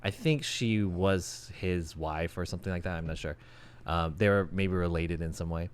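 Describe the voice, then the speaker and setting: A man's voice: low voice, moderate pace, slight background noise. A man in his thirties hosting a casual streamed podcast, talking loosely with lots of fillers and restarts. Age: 30s.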